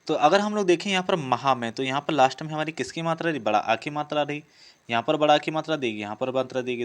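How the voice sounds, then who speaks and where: A man giving an instructional lesson, working through practice questions and repeating each before answering it. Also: on the high side. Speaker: teacher presenting exam practice questions.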